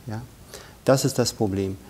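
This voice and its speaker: A man's voice: fairly low pitch, moderate pace, a little mellow, slightly rough. Earnest man in his thirties, studio guest. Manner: earnest, emphatic. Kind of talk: studio interview. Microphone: lapel.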